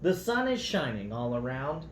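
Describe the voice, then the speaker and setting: A man's voice: medium pitch, sing-song, normal speed, natural voice. Man reading as a sweet adoring girl slug, singsong. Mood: wistful. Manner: gentle singsong verse recital. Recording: roomy echo, faint background noise.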